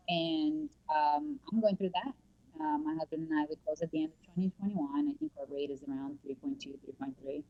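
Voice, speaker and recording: A woman's voice: low voice, dull audio. A woman from Brazil, a real estate agent and guest, chatting with many fillers and restarts over a remote call. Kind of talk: casual remote interview. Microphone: headphones on a remote call.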